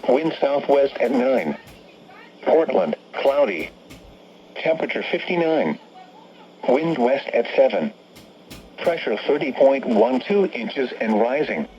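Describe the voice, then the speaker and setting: A man's voice: medium pitch, slow pace, long pauses. A synthetic male voice with an American accent reads a scripted weather forecast in stilted, robotic phrasing as an automated radio announcer. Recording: noisy, dull weather radio broadcast. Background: steady radio background noise.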